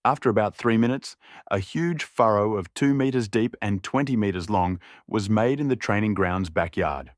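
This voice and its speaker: A man's voice: fairly low pitch, moderate pace, dry recording, clean studio sound. A man narrating a scripted fiction audiobook.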